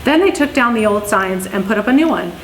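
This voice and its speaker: A woman reading children's stories aloud in an expressive storytelling way. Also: mid-range voice, fairly quick. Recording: roomy echo, faint background noise.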